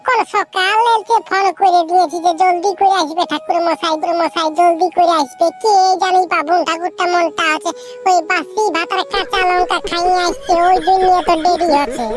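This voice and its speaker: A woman voicing a sassy, nagging village woman in a comic cartoon, with a Purulia Bengali accent and a pitched-up voice. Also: fairly even intonation, moderate pace, soft background music. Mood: nagging, bargaining. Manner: sharp-tongued, bargaining.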